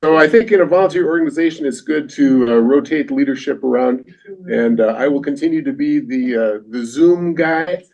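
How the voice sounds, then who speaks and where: A man's voice: on the high side, brisk, vocal fry, darkish tone. A man leading an environment team, giving a rambling report at a community meeting while searching for words. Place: meeting room. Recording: dull, muffled audio.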